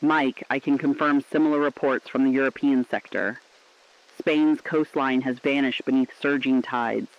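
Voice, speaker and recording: A mid-pitched male voice of an actor plays a resolute co-anchor in an apocalyptic radio drama. The delivery is somber and deliberate, on a slightly dull recording. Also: steady tone, natural voice. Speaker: radio co-host and correspondent. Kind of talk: scripted dramatic apocalyptic news broadcast. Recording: slightly dull, dry studio sound.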